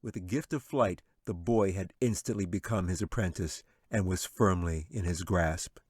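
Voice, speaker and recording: A man narrating a fantasy story as an author reading his own audiobook, with dramatic, measured storytelling. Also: sits low, darkish tone, slightly rough, no echo, studio quality.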